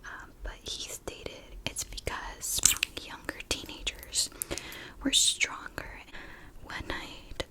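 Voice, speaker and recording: A woman speaks in a breathy, hushed whisper, as in an ASMR video. She tells her story casually and gently, taking her time and pausing between short phrases. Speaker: ASMR creator and true crime storyteller.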